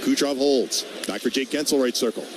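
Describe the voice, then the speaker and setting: A male radio announcer calls live hockey play-by-play in clipped, quick phrases. His voice sits at a medium pitch. There is clear background noise from the live broadcast. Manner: clipped rapid-fire phrases, calling each move as it happens.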